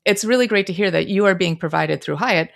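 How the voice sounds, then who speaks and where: A woman's voice: mid-range voice, a little fast, gravelly. A woman hosting a podcast interview, asking questions in a chatty way with many filler phrases. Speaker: podcast host.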